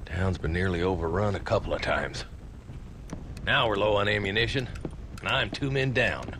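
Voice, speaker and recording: An actor playing a weary old-west town marshal with an American accent and a dry, sardonic manner in a video game cutscene. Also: male voice, on the low side, normal speed, bright, forceful tone. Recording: clear background ambience noise.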